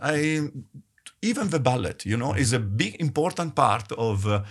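A middle-aged man with an Italian accent, a conductor answering interview questions in halting English with many restarts. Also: mid-range voice, sing-song, breathy edge. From Italy. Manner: halting, many restarts, laughs between phrases. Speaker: guest conductor.

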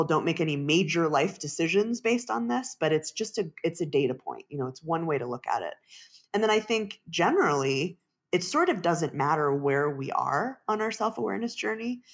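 Guest author, measured explainer, restarts often, steady middle pitch. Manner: thoughtful, explanatory, with frequent restarts. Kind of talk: conversational interview answers.

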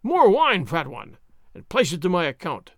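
A man playing a blustering, high-pitched bully sergeant. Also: lively intonation, moderate pace, vocal fry, fairly bright tone, no room sound, studio quality, full character voice. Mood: boastful roaring. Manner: blustering, thundering, theatrical. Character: loud boastful bullying male army sergeant. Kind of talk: dramatized adventure storybook reading.

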